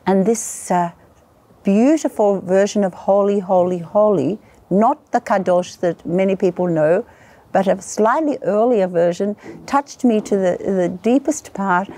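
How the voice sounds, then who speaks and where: A middle-aged woman with an Australian accent tells her story in an earnest, measured way. Her voice is mellow and warm rather than bright, and she keeps an even, mid-range pitch. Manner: earnest, measured, lists in careful steps. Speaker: guest, singer and worshipper. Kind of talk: personal testimony.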